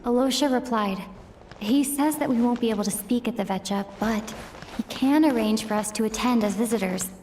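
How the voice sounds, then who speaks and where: Game traveler: actress as composed young woman, highish pitch, long reverb, light music underneath. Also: fairly quick, darkish tone, lightly acted. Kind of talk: video game voice acting.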